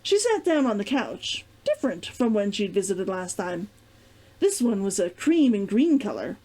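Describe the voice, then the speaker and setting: A woman reading fiction aloud as an expressive storybook narrator. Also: mid-range voice, moderate pace, poor audio. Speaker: reader of a fiction read-along.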